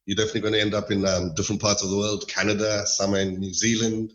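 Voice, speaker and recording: A middle-aged man from Malawi, a podcast guest talking in a thoughtful, measured way with hedging asides. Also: fairly low pitch, flat delivery, fairly bright tone, lo-fi sound. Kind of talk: casual interview chat. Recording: roomy echo.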